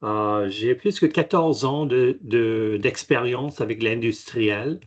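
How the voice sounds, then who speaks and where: A man, a native French speaker, answering technical questions on a webinar in a halting way with many restarts. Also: mid-range voice, lo-fi sound. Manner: halting, frequent restarts and fillers. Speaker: company chairman, guest expert. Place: video call.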